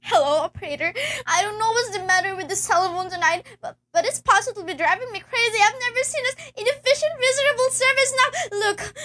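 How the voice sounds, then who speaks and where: A woman's voice: extremely high pitch, sharp tone. A woman playing a nervous, demanding invalid wife in an amateur radio play, stammering and insistent.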